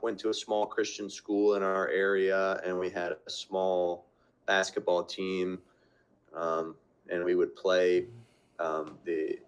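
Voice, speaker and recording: A man's voice: deep voice, clean tone, rough recording. A man from central Vermont, a podcast guest, chatting in an easygoing, rambling way. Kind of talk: casual chat.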